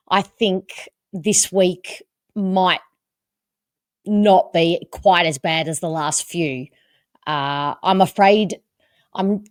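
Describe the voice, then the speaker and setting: A woman's voice: lowish voice, dry recording, studio quality. A woman hosting a podcast, chatting casually and emphatically about sport and repeating words for emphasis. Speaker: podcast host.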